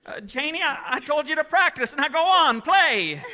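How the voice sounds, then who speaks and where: An actor playing a frantic young family man in a radio play, with a stammering, excitable delivery. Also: extremely high pitch, normal speed, heavily acted. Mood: remorseful, frayed. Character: frantic, hot-tempered young family man at breaking point. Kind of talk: 1940s radio play acting. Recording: reverberant hall, live stage taping.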